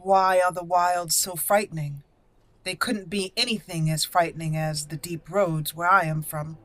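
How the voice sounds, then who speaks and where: A woman's voice: fairly low pitch, steady tone, moderate pace, fairly bright tone, close to an everyday voice. A woman roleplaying a proud exiled elf mage in a game, speaking in formal, stiff wording. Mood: curious, wary.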